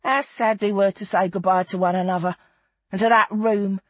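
A woman's voice: mid-range voice, fairly even intonation, normal speed, no echo, pitched above the performer's own voice, lightly acted. A woman voicing a flamboyant, worldly showgirl, gossipy and mock-genteel in an audiobook story. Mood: wistful.

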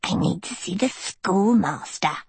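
Woman putting on a creepy mean witch girl, cold wheezy mocking, low husky storybook villain. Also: expressive, a little slow, a little mellow, no room sound, heavily acted. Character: creepy, menacing albino teenage witch girl.

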